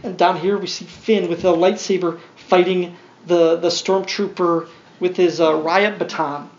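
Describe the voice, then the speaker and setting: A man's voice: high voice, flat delivery, measured pace. Dull-sounding man reading a storybook aloud. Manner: playful, wry side comments. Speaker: podcast host and father reading aloud to his young son. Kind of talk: read-along children's storybook narration with casual asides. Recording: dull lo-fi sound, faint background noise.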